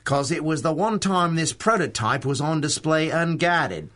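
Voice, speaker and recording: A man's voice: fairly high pitch, animated, a little slow, fairly bright tone. An actor playing a breezy, flippant male secret agent in a period-style radio drama. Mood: breezy, explanatory. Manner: flippant, teasing, nonchalant. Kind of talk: 1930s radio drama acting.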